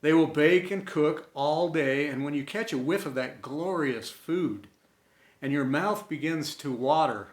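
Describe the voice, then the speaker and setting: A man reading a scripted inspirational tribute in an earnest, sentimental way, with deliberate dramatic pauses between short phrases. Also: on the high side. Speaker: storyteller and host of an inspirational series. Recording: roomy, echoey sound.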